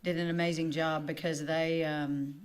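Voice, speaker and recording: A woman chats as a guest on a local morning program. She has a lower, strong, loud voice and talks eagerly, piling on details with quick repeats. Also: flat delivery, clear, resonant tone. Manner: chatty, emphatic, loud-voiced. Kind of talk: casual morning TV chat.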